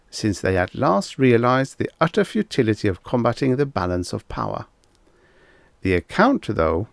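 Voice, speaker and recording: A man voicing a pompous professor of the future in an audiobook story, lecturing didactically with declamatory, deliberate emphasis. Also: mid-range voice, vocal fry, dry recording, clean studio sound.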